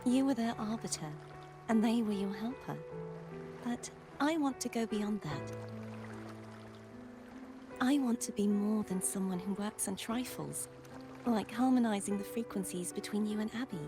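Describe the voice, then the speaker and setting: An actress playing an earnest, headstrong young maiden with knightly resolve in a video game, in formal, measured phrases. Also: female voice, mid-range voice, close to an everyday voice. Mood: earnest, resolute. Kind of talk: dramatic video game voice acting. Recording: music behind the voice.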